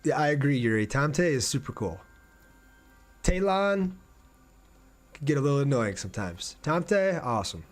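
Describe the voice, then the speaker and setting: A man's voice: medium pitch, very animated, moderate pace, pauses often. A young man giving casual, enthusiastic livestream commentary on a game and chatting informally with his viewers. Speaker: game streamer. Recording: game music behind the voice. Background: game music.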